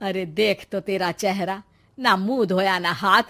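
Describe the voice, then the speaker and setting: A woman voicing a bossy mother-in-law who scolds and taunts her grown son theatrically in an animated story. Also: mid-range voice. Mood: angry bossy. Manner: theatrical, sarcastic, drawn-out scolding. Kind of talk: animated Hindi moral story acting.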